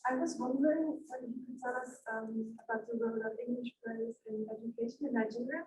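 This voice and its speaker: A woman's voice: a little high, fairly even intonation, quick pace, darkish tone, low-quality recording. A woman in the audience asking a tentative question with fillers. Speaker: audience member.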